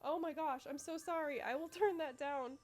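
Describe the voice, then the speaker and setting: A woman giving casual, expressive playthrough commentary, thinking aloud. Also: sits high, moderate pace. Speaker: game streamer. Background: game music.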